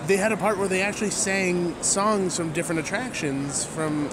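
A man co-hosting a podcast, chatting casually and emphatically like an excitable fan. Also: high voice, moderate pace, background noise. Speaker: podcast co-host. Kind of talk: casual podcast chat about a fan event. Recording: loud crowd din behind.

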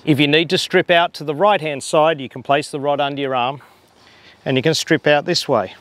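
A man in his forties, a fly fishing guide, explaining line handling outdoors on a harbor dock with a lapel mic, relaxed and a bit hesitant. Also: a little high, a little fast. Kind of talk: instructional demonstration.

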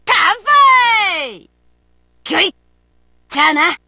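Brash young tomboy game warrior, very high pitch, swooping intonation, rough slangy delivery. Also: female voice, sing-song, slow, background noise, full character voice. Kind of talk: video game battle voice lines.

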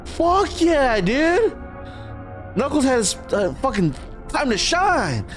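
A young man giving casual, excited reaction commentary with slangy, profane exclamations into a close studio mic. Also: very high-pitched, takes it slowly, soft background music. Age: young adult. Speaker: movie reaction host. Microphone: close boom-arm studio microphone, headphones.

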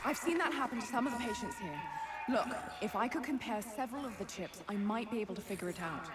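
An actress voicing a concerned, practical hospital doctor in a video game, earnest and businesslike. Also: female voice, a little high, animated, quick pace, natural voice. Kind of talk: video game cutscene acting.

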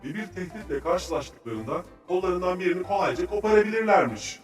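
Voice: medium pitch, animated, a little fast, close to an everyday voice. A performer playing a cheerful, knowledgeable child who explains nature facts enthusiastically in a children's cartoon. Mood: helpful, instructive. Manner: instructive, enthusiastic, articulate. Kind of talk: children's educational cartoon dialogue. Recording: roomy, distant sound with faint music.

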